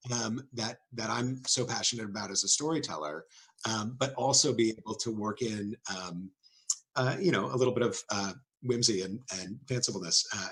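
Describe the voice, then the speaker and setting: A man's voice: mid-range voice, fairly bright tone, slightly rough, low-quality recording. A man who writes stories for the stage, speaking unscripted as a panel guest, thoughtful and hesitant, in short trailing phrases.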